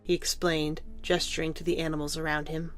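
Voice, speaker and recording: Low-voiced woman narrating a story over music. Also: flat delivery, fairly quick.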